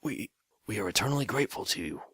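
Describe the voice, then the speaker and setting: A man's voice: on the low side, animated, fast, fairly bright tone, slightly rough, no room sound, clean studio sound. A man voicing an old gray male unicorn, a royal counselor who speaks in a formal, archaic and hesitant way. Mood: solemn, bewildered.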